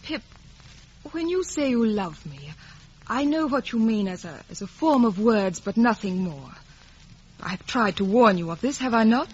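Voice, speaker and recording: A woman's voice: a little high, expressive, normal speed, a little mellow, husky. Cold proud young lady, old radio. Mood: cool, detached. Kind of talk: 1950s radio drama acting. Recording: muffled, dull vintage radio recording.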